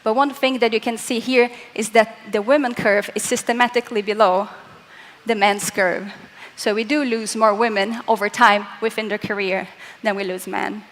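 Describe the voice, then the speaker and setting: A woman's voice: a little high, steady tone, moderate pace. A young woman from Latin America, speaking English as a second language, gives an earnest, explanatory conference talk and addresses the audience directly. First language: not English. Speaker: physicist giving a conference talk. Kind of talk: conference presentation. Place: large conference hall, on stage. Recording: hall reverb from a large room.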